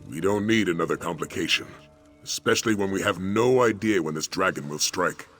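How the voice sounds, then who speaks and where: A man's voice: low voice, a little fast, a bit bright, soft background music. A man playing a serious, practical adventurer in a fantasy video game. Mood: serious, resolute.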